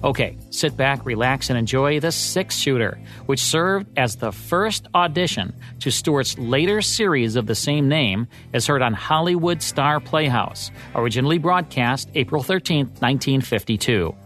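A man's voice: mid-range voice, animated, moderate pace, hardly any pauses, a bit bright, soft background music. A man hosting a podcast, reading a scripted history segment in turns with his co-host.

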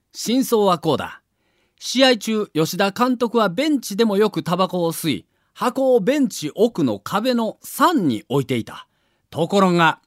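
A man's voice: high-pitched, fairly bright tone, no echo, clean studio sound. A man reading a scripted documentary narration with a theatrical storyteller's delivery.

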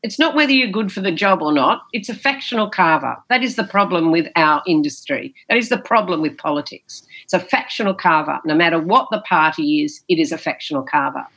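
A woman's voice: fairly low pitch, lively intonation, a little fast, hardly any pauses, a bit bright. A woman, a former politician, answering interview questions in an emphatic, storytelling way with a big theatrical voice, often repeating phrases and saying "you know".

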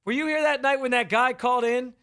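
Loud, bright-voiced sports radio man. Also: very high-pitched, fairly even intonation, fast talker. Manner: loud, projecting delivery; fired-up, opinionated. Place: radio studio. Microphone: studio microphone, close.

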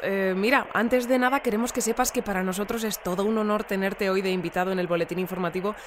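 An actress playing a polite, composed female news presenter, speaking earnestly and in measured phrases in an audio fiction bulletin. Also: mid-range voice, noisy background, lightly acted. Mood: polite, welcoming.

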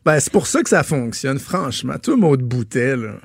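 A man's voice: medium pitch, normal speed, gravelly, slightly rough, no echo. A man with a Quebec French accent co-hosting a radio show, chatting casually and laughing as he tells stories. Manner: laughing, animated storyteller. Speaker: radio co-host. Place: radio studio. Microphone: studio mic.

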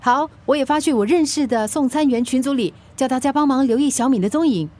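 High-voiced, earnest, worried young friend. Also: female voice, quick pace, darkish tone. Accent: Singaporean. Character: earnest young woman, loyal worried friend, guilt-prone. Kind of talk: radio drama acting.

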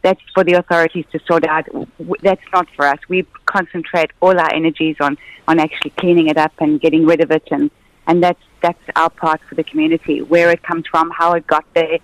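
A woman guest on a radio show, owner of a surf club, energetic and rambling. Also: low voice, a little fast, no echo, poor audio. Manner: energetic, community-minded, rambling.

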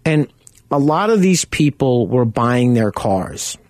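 Loud-voiced man hosting a radio show. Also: medium pitch, sing-song, slow pace, no room sound. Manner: conversational, rambling, anecdotal. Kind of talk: casual radio talk show monologue.

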